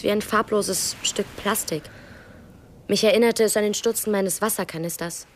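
An actress playing a poor, unsentimental beach child telling a story plainly in a radio play. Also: female voice, lowish voice, a little hiss or hum, lightly acted. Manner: matter-of-fact storytelling. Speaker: actor. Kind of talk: first-person radio play narration.

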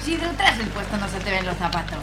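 A woman's voice: mid-range voice, big pitch swings, fast talker, lightly acted. A woman playing a blunt, teasing, bossy market woman in a radio play, with an exclamatory, chiding delivery.

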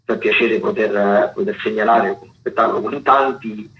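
A man hosting a radio show, talking through theatre listings in a halting, unscripted way. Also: lowish voice, fairly even intonation. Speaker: radio host. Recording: dull, lo-fi radio sound with clear noise.

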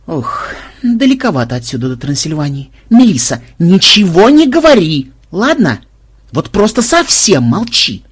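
A narrator voicing an irritable male vampire warlock who is exasperated, sarcastic and loudly indignant in an audiobook. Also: mid-range voice, sing-song, normal speed, hardly any pauses, breathy edge.

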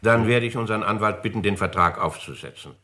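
An actor in his seventies playing a courteous, composed elderly gentleman in a 1960s radio drama, speaking formally. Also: lowish voice, fast talker. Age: 70s. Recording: noisy 1960s radio archive recording.

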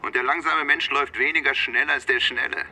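An actor playing a wry Vietnamese police lieutenant who talks in mock proverbs, teasing, in a German radio crime drama. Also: male voice, medium pitch, steady tone, a little fast, bright, forceful tone. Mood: amused, teasing. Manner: teasing, sententious.

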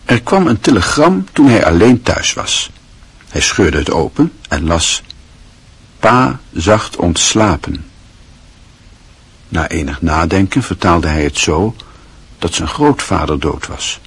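Guy narrating a radio play, deep husky voice, calm and measured. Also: dry recording.

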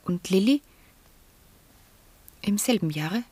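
A woman's voice: mid-range voice, expressive, slow, darkish tone, husky, dry recording. A woman playing an earnest, brooding young lady in a German radio drama, with intense, wistful, literary phrasing.

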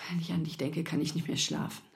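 A woman's voice: sits low, steady tone, fast, gravelly. An actress playing a worried, scolding middle-aged mother in radio play dialogue.

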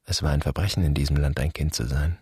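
Very deep, husky, grave man. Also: fairly even intonation, fast talker, warm tone, no echo, clean studio sound, full character voice. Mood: bitter, questioning. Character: grave, bitter grown man pondering his dead father. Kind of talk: literary radio drama monologue.

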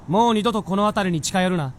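A man acting as a stern but soft-hearted talking fox spirit in a radio drama, with a blunt, commanding way of speaking. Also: sits high, quick pace, a little hiss or hum, natural voice.

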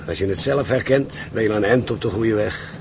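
A man acting a gruff, seasoned seaman in a Dutch radio drama, blunt and philosophical. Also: on the low side, a little fast, background noise, close to an everyday voice. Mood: grave, encouraging.

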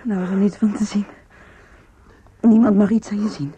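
A woman's voice: medium pitch, steady tone, normal speed, warm tone, husky. An actress playing a tender, commanding young woman in a radio drama, speaking intimately and often in a whisper. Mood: firm, caring. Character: tender, commanding young woman secretly meeting her sweetheart.

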